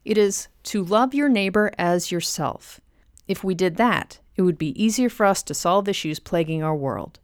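A woman's voice: lowish voice, animated, moderate pace, no room sound, clean studio sound. A woman hosting a podcast, reading a scripted introduction. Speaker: podcast host.

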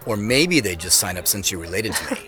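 An actor playing a busy, self-deprecating young tech CEO in a modern audio drama, talking in a casual, wry way. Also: male voice, on the low side, big pitch swings, fairly quick, bright, forceful tone, faint music behind. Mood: light, teasing.

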